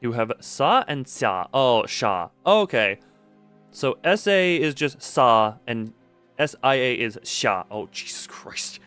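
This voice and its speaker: A man's voice: mid-range voice, very animated, takes it slowly, a bit bright, clean tone, faint music behind. A man streaming a game with casual live commentary, rambling and correcting himself as he goes.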